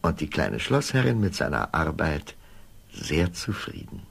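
A man's voice: a bass voice, very animated, unhurried, vocal fry, fairly bright tone, breathy edge, exaggerated voice. A man narrating a fairy tale as the storyteller of a scripted radio play. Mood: storytelling.

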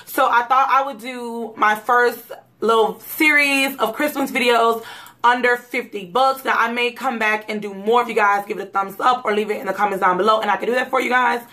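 A woman in her thirties, hoarse from a cold, chatting casually to camera in her bedroom about gift ideas, rambling with lots of "um" and "like". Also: fairly high pitch, steady tone, normal speed, pushed and bright. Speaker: YouTube vlogger. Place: bedroom at home. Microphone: camera mic, seated facing camera.

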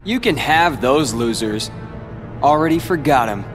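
A young actor playing a bitter rival who speaks coldly and slowly. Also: male voice, medium pitch, expressive, close to an everyday voice. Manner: cold, taunting, slow. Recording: game audio with loud music.

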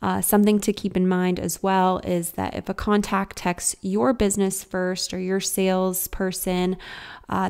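A woman walks viewers through software in an informal, explanatory style. Her voice sits a little low and has a smooth, clear tone with noticeable vocal fry. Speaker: software tutorial presenter. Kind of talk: casual scripted screen-share walkthrough.

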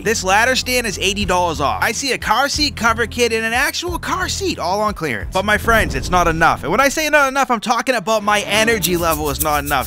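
A man with a Massachusetts accent, tired but playful, vlogging while shopping in big stores on a handheld camera. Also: high-pitched. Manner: playful, goofy, jokey. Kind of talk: energetic shopping vlog. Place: inside big retail stores.

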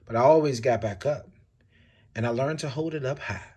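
A man reading a picture book aloud with expressive, swinging delivery. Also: moderate pace, husky. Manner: expressive storytelling with big swings in pitch and loudness.

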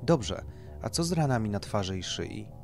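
A man's voice: on the low side, lively intonation, moderate pace, creaky, soft background music. A man voicing a determined, demanding wife in an audiobook, terse and insistent. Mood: firm. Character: determined, demanding female client, a devoted wife. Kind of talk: audiobook character dialogue.

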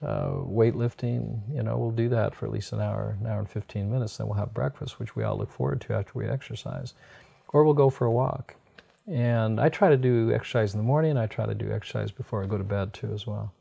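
A man's voice: fairly low pitch, brisk, vocal fry, warm tone, breathy edge. A middle-aged American man giving conversational health advice in a folksy, explanatory way. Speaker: health doctor and program host. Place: indoors on a sofa in a book-lined room.

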